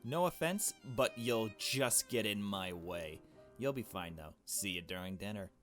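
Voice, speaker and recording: A man voicing a haughty, dismissive rich young woman from a visual novel in a brisk, bossy manner. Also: lowish voice, lively intonation, a bit bright, faint music behind.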